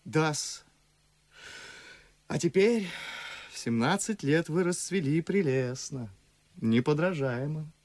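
A man's voice: a little high, expressive, slow, pauses often, a little mellow, dry recording. An actor playing an ardent, witty, mocking young man in love in a verse radio play, with theatrical delivery. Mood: teasing. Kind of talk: classic verse stage acting for radio. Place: radio studio.

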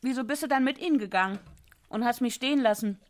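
A woman's voice: a little high, fast, noisy background, close to an everyday voice. A woman acting in a radio play as a jealous, provocative wife with a pointed, challenging, teasing manner. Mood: accusing. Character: jealous, provocative wife questioning her husband.

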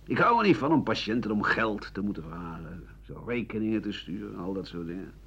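Radio drama, world-weary elderly physician-writer, lowish pitch, sour disdain; dull 1980 recording. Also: male voice, very animated, brisk, a bit bright, slightly rough, heavily acted. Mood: weary, grumbling. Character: embittered old French doctor-writer looking back on life. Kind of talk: radio play acting.

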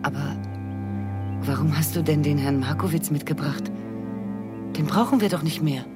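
An actress playing an eerily calm, detached middle-aged wife in a radio crime drama, chatting softly and serenely. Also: female voice, low-pitched, normal speed, lightly acted. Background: music.

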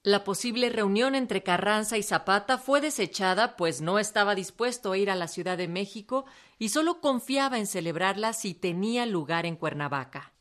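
A woman's voice: mid-range voice, expressive, moderate pace, hardly any pauses, a bit bright. Woman narrating a radio program. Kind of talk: scripted narration.

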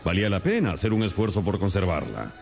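An actor playing a shrewd, stern detective in a radio drama, speaking in a deliberate, theatrical, expository way. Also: male voice, low voice, very animated, vocal fry, darkish tone, soft background music, full character voice. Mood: stern, accusing.

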